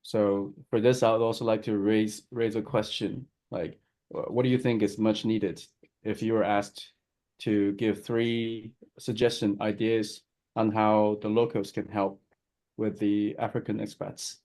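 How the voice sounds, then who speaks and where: A man's voice: on the low side, flat delivery, a little slow, darkish tone. A Chinese man speaking as a guest on a video call, friendly and hesitant, often restarting his sentences. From China. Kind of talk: casual remarks on a video call.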